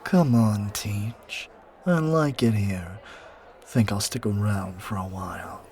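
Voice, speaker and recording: A man performs an arrogant young male bully in an audio roleplay, entitled and sneering. He is low-voiced and takes his time, drawling each taunt with menace. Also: takes it slowly, a little mellow, slight background noise. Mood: sullen, insolent.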